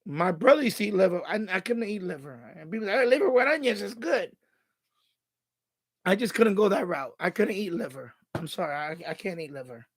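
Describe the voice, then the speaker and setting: A man's voice: sits high, lively intonation, a little fast, breathy edge, no echo. A man from the Bronx, chatting casually as a live stream host, thinking aloud and repeating himself. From Bronx, New York City, USA.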